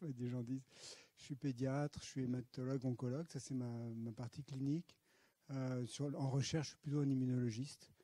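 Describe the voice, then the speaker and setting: A man's voice: medium pitch, mellow, no room sound. Hesitant middle-aged Frenchman lecturing. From France. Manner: hesitant, many fillers, friendly. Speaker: guest speaker, pediatric hematologist and researcher. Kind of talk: conference talk. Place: lecture hall.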